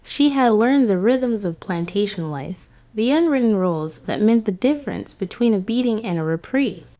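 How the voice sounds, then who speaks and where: A woman giving scripted history narration in a grave, measured storytelling manner. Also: mid-range voice, lively intonation, warm tone.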